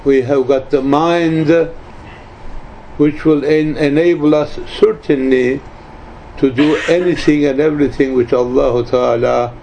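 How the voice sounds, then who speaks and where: Man in his nineties, slow halting speech, mellow tone, religious teacher, emphatic repetition, informal spiritual talk. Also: medium pitch, steady tone, vocal fry. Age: 90s. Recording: dull lo-fi recording, faint background noise.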